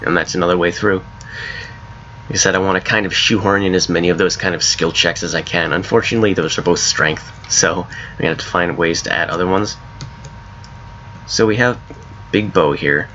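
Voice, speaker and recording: A man with a very low, hoarse voice, since he's coming down with a cold, talks through his game mod in a loose, rambling way. His tone is bright and forceful despite the depth. The home recording sounds a little dull, with a faint hiss underneath. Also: moderate pace. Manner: rambling, self-correcting, laughs at his own ideas. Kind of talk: casual gameplay commentary.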